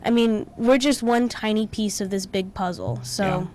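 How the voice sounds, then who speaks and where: A woman's voice: mid-range voice, moderate pace, dark tone, a little hiss or hum. A young woman performer in a musical, talking enthusiastically in a TV interview. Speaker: cast member of a musical.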